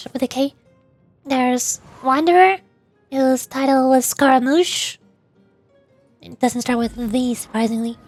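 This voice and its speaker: High voiced girl streamer, chatty n rambly, casual gaming talk, bit of music behind. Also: no room sound. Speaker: streamer, virtual YouTuber. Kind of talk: casual game livestream chat.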